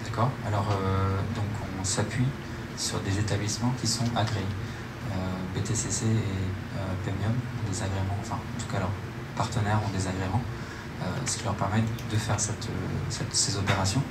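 A young man giving informal, halting answers as a startup presenter on stage at a meetup, speaking into a handheld microphone. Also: sits low, level tone. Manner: hesitant, halting phrasing. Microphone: handheld microphone, recorded by camera in room. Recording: echoey hall, loud room noise.

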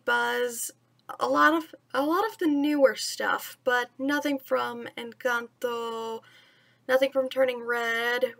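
A young woman with a high voice talks casually to camera as the host of a bedtime story series. She is recorded indoors in a small room at home, a little way from the mic.

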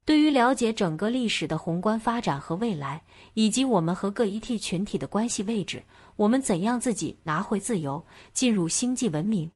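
Woman doing scripted documentary narration, really dry close recording, medium pitch. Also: lively intonation, normal speed, few pauses, a little mellow, slightly rough, dry recording.

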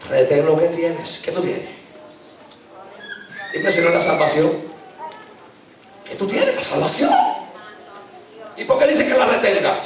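A middle-aged man preaching a fervent sermon into a handheld mic in a small church, shouting at the peaks and dropping low in between. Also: sing-song, unhurried, pauses often, far from the mic. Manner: impassioned preaching, shouting at climaxes. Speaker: pastor. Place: small church sanctuary, indoors. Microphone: handheld mic, filmed from far. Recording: very echoey church from afar.

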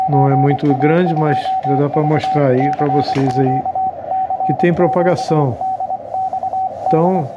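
A Brazilian man giving a casual hands-on vlog demonstration as an amateur radio host, recorded at home on a handheld device. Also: a little high. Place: tiled enclosed home terrace room. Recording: loud radio noise and Morse tones. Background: radio static, Morse sidetone beeps.

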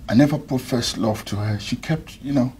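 An actor playing a two-timing young groom who pleads softly and evasively. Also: male voice, mid-range voice, normal speed, slight background noise, natural voice. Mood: pleading. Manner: pleading, soft and insistent.

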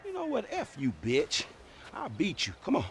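An actor playing a cocky, flirty, quick-tempered younger man in a video game cutscene, needling and indignant. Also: sits high, wildly swooping pitch, vocal fry, slight background noise, heavily acted.

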